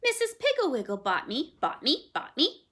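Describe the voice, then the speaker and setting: A woman's voice: sits high, huge pitch swings, moderate pace, sharp tone, pitched above the performer's own voice, full character voice. A woman voicing a bossy talking green parrot who scolds sharply and repeats the ends of her phrases two or three times. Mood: lecturing. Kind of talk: storybook read-aloud.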